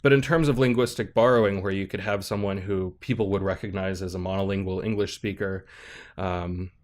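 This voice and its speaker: A man, a professor on a podcast as a guest, explaining ideas in a measured, thoughtful way and checking in with "right?" as he goes. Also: low voice, fairly even intonation. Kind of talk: explanatory interview talk.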